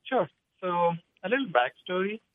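A man's voice: high voice, big pitch swings, normal speed. A man from Pakistan calling into a show, asking his question casually over a narrow phone line. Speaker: caller. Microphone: telephone.

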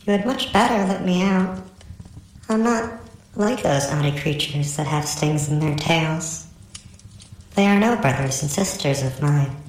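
A man's voice: sits high, roomy sound, a little hiss or hum, pitched above the performer's own voice, poor audio. A man voicing a tiny, cheerful winged female fairy spirit in a storybook, with a small sweet voice and a coaxing, arch lilt.

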